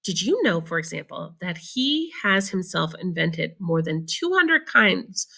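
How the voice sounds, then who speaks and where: A woman voicing a very old, excited grandfather who marvels eagerly in a children's storybook. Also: lowish voice, unhurried, gravelly, husky, heavily acted. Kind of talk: children's storybook read-aloud.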